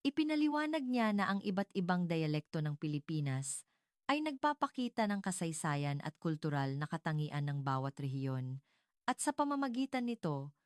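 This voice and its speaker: A woman reading a scripted dramatic story as narrator, in a measured, formal manner. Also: sits low, no echo, clean studio sound.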